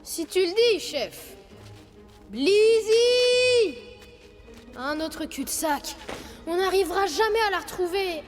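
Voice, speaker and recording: A woman's voice: very high voice, animated, slow, pauses often, full character voice. A woman voicing a young tiger cub, a grumbling but loyal sidekick in a French cartoon dub, with a childlike, whiny delivery. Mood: reluctant, grumbling. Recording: music behind the voice.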